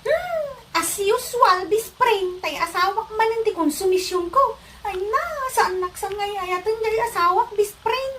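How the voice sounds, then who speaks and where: A very high female voice from an actress plays a jealous young wife in a comedy drama. She nags and accuses with a sharp tongue and a short temper. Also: expressive, unhurried, noisy background. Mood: exasperated. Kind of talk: Ilocano comedy drama acting.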